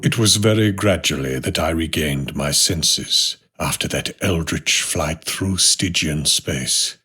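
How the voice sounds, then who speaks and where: A man's voice: extremely low pitch, slightly rough, exaggerated voice. A man playing a haunted escape artist who recounts cosmic horrors in florid, grave, theatrical narration for a horror visual novel. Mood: grim dread. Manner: theatrical, grave, drawn-out phrasing. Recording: loud music under the voice. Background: loud music.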